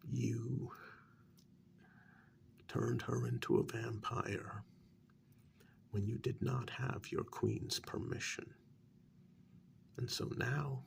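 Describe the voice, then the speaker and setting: A man playing a stern, sardonic interrogator of a captive vampire in a soft-spoken ASMR role-play, halting and probing, drifting into whispers. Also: medium pitch, creaky, slight background noise, exaggerated voice. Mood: sarcastic, reproachful.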